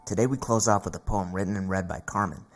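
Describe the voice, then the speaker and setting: A man's voice: low voice, fast. A man hosting a radio show, reading scripted introductions over music.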